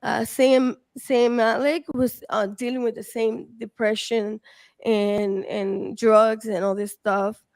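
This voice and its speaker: A woman from the Dominican Republic on a streamed panel, talking casually and haltingly with many fillers and restarts. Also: fairly high pitch, little pitch movement, vocal fry, darkish tone, dry recording. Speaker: research team member.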